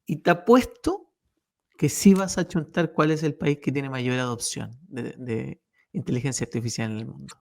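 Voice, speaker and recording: A man's voice: medium pitch, moderate pace, creaky. A man hosting a radio interview who hesitates with many "eh" fillers and shifts between Spanish and Portuguese. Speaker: radio show co-host.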